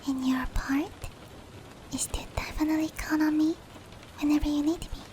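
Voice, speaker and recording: A woman voicing a gentle, protective female demon in a soothing, breathy whispered ASMR roleplay, slow and comforting. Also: high-pitched, steady tone, slight background noise, close to an everyday voice. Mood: gently explaining. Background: rain.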